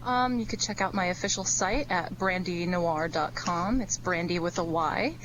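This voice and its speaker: A woman's voice: on the low side, moderate pace. A woman speaking casually and earnestly as a guest actress in an interview over a call. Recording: dull, faintly noisy call.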